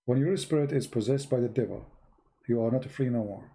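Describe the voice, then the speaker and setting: Host, male, English, gravelly and dark-toned, blunt emphatic delivery, repeats points. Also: medium pitch, brisk. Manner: blunt, emphatic, repeats words, non-native grammar. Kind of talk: live-streamed religious talk.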